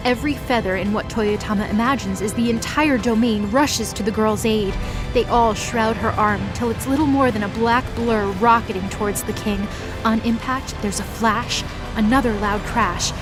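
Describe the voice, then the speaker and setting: A woman's voice: a little high, low-quality recording. A woman giving dramatic scripted narration for a mythology audio story.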